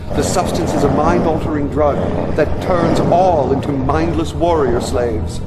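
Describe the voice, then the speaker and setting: A man playing a sly, menacing advisor to a villainous lord in a video game cutscene, with theatrical, deliberate delivery. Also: on the high side, natural voice. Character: sly, menacing male Mentat advisor, servile to his lord. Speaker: scheming advisor to a villainous baron. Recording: long reverb, loud music underneath.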